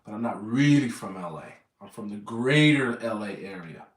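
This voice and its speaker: A man's voice: on the low side, animated, normal speed, distant mic. Rambling American man in an echoey room. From Southern California, USA. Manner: meandering, corrects himself often. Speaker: vlogger. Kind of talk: rambling casual chat.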